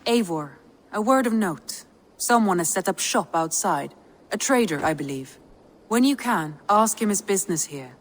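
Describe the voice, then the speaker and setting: A woman's voice: medium pitch, lively intonation, moderate pace, lightly acted. A woman playing a sober, practical clan advisor in a video game, briefing in a formal manner. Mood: businesslike. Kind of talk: video game character acting.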